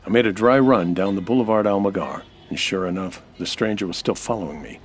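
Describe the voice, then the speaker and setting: An actor playing a suspicious, hard-boiled American nightclub owner who narrates in a wry, terse radio noir style. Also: male voice, sits low, brisk, faint music behind, natural voice. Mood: brooding, wry. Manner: wry, terse, tough-talking. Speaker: actor playing lead detective-style hero. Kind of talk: old-time radio noir monologue and dialogue.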